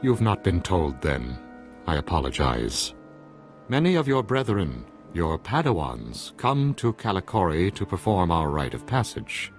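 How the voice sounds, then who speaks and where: An actor playing a solemn, formal village master of rituals in a video game. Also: male voice, low voice, very animated, soft background music, exaggerated voice. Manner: formal, measured, ceremonial.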